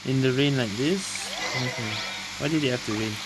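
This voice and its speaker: A woman's voice: very deep voice, moderate pace, heavy background noise. A woman streaming a video game, casually musing aloud in brief, offhand reactions. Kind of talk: casual gameplay commentary. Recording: loud game sound behind the voice. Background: video game audio and ambience.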